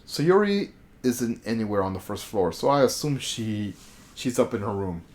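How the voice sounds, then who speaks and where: A man's voice: lowish voice, sing-song, normal speed, darkish tone. A man streaming a game, reading its text aloud with casual asides and sometimes stumbling over the words. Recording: background music behind voice.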